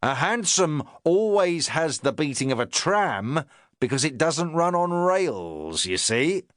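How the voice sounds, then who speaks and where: A self-important police inspector lectures his sergeant in pointed, hectoring phrases, voiced by a male narrator. He talks slowly, his voice swooping up and down as he hammers each point home. The reading is close and dry, with no room sound. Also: a little high, sing-song, fairly bright tone, dry recording, voice pushed up, exaggerated voice. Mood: lecturing. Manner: pompous, hectoring, jabbing phrases. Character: pompous lecturing police inspector, middle-aged man. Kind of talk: audiobook character reading.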